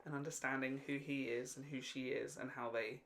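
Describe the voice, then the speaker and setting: A man in his late twenties chats as co-host of a casual fan podcast. His delivery is animated and playful, with a mid-range voice, loose swearing and a habit of wandering off topic. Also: mid-range voice, fairly quick, low-quality recording. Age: late 20s. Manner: animated, jokey, tangent-prone, sweary.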